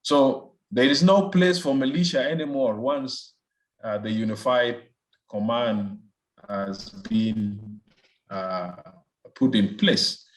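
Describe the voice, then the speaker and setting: A man with a South Sudanese accent answering as an expert guest in an interview, phrasing things deliberately and often repeating words as he goes. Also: mid-range voice, poor audio. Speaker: research fellow, interview guest. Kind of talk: political analysis in an interview.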